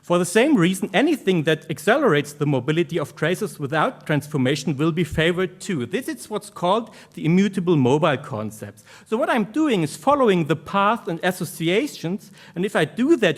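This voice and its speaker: A man with a German accent giving an academic conference presentation, speaking in halting, informal phrases as a researcher. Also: high voice, very animated.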